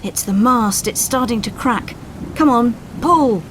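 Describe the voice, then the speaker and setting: Woman playing a commanding male coxswain, urgent raised shouts, lifted pitch, dramatic storybook, storm noise behind. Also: measured pace. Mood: alarmed, urgent. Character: commanding lifeboat coxswain bellowing orders to his crew. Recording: storm sound effects behind voice.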